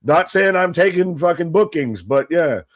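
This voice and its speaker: A man's voice: fairly high pitch, moderate pace, no echo. A man co-hosting a live wrestling watch-along stream, cracking jokes and swearing freely. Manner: jokey, excitable, swears freely. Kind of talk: casual live-stream commentary.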